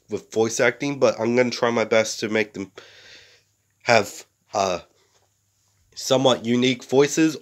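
A young man, sick and a bit rough-voiced, rambling casually to a close camera in a small bedroom, full of fillers and restarts. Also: medium pitch. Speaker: series creator.

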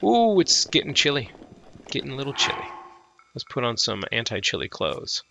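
A man's voice: medium pitch, very animated, normal speed, creaky, background noise. A man with a lingering head cold giving casual, goofy, rambling gameplay commentary as if talking to himself. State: tail end of a head cold. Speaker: let's play host.

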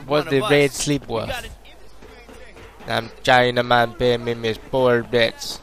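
A man's voice: mid-range voice, flat delivery, slow pace, fairly bright tone. A young British man casually commentating a game, joking and rambling in a put-on Jamaican accent. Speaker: gameplay commentator. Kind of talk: casual let's-play commentary.

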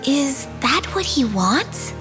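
A woman's voice: high voice, big pitch swings, slow pace, over music, exaggerated voice. A woman voicing a naive, headstrong little fairy princess in a fantasy game, childlike and eager. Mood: puzzled, curious.

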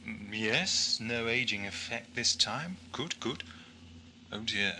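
A man playing a brainy, eccentric time-travelling adventurer in an audio drama, brisk and explanatory. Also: lowish voice, expressive, pushed and bright, slight background noise, full character voice. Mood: distracted. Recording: music behind amateur audio drama.

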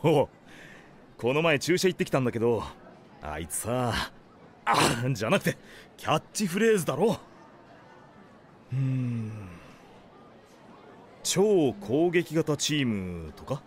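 A man acting a sensible high school team captain in an anime radio drama, dryly retorting and scolding. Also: big pitch swings, unhurried, pauses often, slight background noise. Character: sensible, dry teenage male team captain.

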